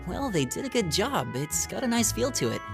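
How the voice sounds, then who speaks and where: Animated, earnest student boy. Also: sits high, a little fast, vocal fry, over music, full character voice. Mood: friendly, concerned. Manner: casual, chatty. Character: friendly, earnest, modest teenage student boy. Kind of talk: video game voice acting.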